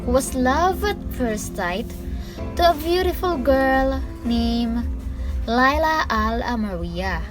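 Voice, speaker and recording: Woman narrator, scripted storytelling, slow pace, slightly high pitch, clear background music. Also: expressive, low-quality recording. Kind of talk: scripted storybook narration in amateur radio drama.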